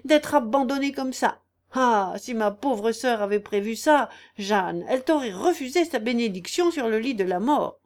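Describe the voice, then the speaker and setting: A woman voicing a self-pitying, shrewish peasant aunt in a story, loud, indignant and wailing. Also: high voice, lively intonation, a little slow, voice pushed up. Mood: bitter reproach.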